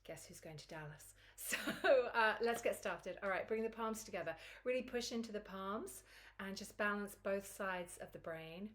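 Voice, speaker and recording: A woman with a croaky, recovering voice teaching a Kundalini yoga routine in a chatty, encouraging way. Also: mid-range voice, normal speed, a bit bright. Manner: chatty and encouraging, laughs easily. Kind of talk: guided yoga class instruction.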